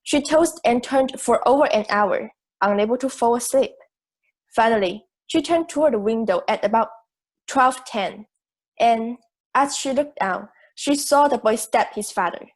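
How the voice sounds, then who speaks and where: Young woman playing self-assured female juror, fairly high voice, measured, articulate, amateur audio drama. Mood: firm, certain. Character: logical, self-assured juror, a married woman open to reason. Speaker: student actor in school drama class.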